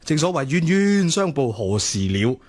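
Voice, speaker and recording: A cocky young womanizer with a Hong Kong Cantonese accent and a slightly high voice. He talks like a worldly, flippant student buddy in a radio drama, teasing colloquially and handing out theatrical mock wisdom. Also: very animated, normal speed. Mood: flippant, prodding.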